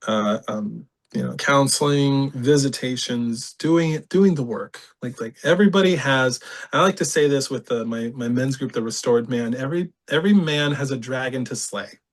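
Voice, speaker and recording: A man from California, a podcast guest, talks through his own past in a lively way, laughing often and acting out other people's words. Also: medium pitch, normal speed. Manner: animated, laughs often, reenacts voices. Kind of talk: candid personal storytelling.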